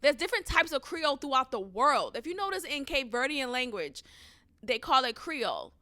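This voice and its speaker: A young woman hosting a podcast, telling a story with an animated, emphatic delivery and frequent swearing. Her voice sits a little high and sounds bright and forceful. The recording is dry and close. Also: moderate pace, no room sound. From United States. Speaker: podcast host. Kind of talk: casual storytelling.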